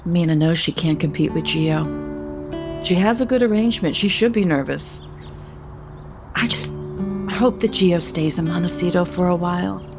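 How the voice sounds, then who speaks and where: A woman's voice: fairly low pitch, moderate pace, pauses often, music in the background, close to an everyday voice. An actress playing a protective, meddling, middle-aged mother of a grown son in a naturalistic audio soap opera. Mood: concerned, insistent.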